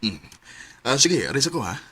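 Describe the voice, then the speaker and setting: A man's voice: medium pitch, sing-song, a little fast, pushed and bright. A man with a Cebuano Filipino accent acting an older family friend, hesitant and secretly in love, in a radio romance drama. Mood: friendly, casual. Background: music.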